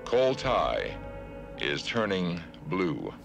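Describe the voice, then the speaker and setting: Logical alien officer, cartoon, measured formal delivery, deep voice, loud score behind. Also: male voice, huge pitch swings, gravelly, music in the background, heavily acted. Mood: composed, stern. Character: logical, composed alien male starship officer. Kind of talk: 1970s TV cartoon acting.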